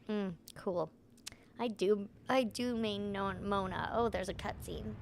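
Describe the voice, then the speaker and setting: A young woman with a hoarse, soft voice she cannot project, chatting casually while playing a game and rambling through explanations. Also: mid-range voice, slow pace, slight background noise. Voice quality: soft, unprojected voice. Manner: rambling, explains with many "like"s and "right?". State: hoarse, losing her voice. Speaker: voice actor streaming gameplay. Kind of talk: casual gameplay chat.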